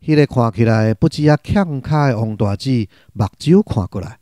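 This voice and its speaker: A man with a Taiwanese accent narrating a radio drama in an expressive, literary storytelling style. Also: medium pitch, moderate pace, no echo.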